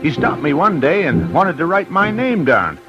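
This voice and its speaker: An actor playing brash, clipped, hard-boiled men in an old-time radio crime drama. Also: male voice, fairly high pitch, wildly swooping pitch, moderate pace, muffled recording. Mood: breezy, friendly. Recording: old radio show under loud music.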